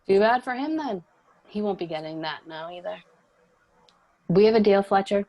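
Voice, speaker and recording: A woman's voice: medium pitch, lively intonation, normal speed, a little mellow, natural voice, low-quality recording. A woman playing a tough, sardonic fighter who talks in a cool, challenging way at a screenplay table read.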